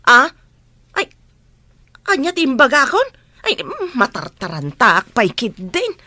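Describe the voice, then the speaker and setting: A woman's voice: high voice, wildly swooping pitch, measured pace, sharp tone, no echo, heavily acted. A woman acting a chatty, nosy household helper in a radio comedy drama, inquisitive and exclaiming. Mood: flustered, feisty.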